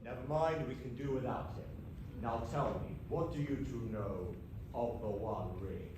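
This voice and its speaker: A man's voice: medium pitch, normal speed, lightly acted. A man playing a pompous, exasperated villain wizard in a comic stage pantomime, declaiming grandly. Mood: commanding, indignant. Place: stage in a hall with live audience. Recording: echoey theatre, distant mic, noisy.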